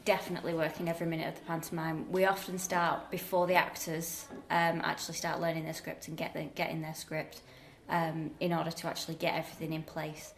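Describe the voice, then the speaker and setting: A woman's voice: low-pitched, flat delivery, quick pace, gravelly, hardly any pauses, slight background noise. A woman explaining backstage work in an informal interview, in a matter-of-fact manner. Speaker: backstage crew member being interviewed.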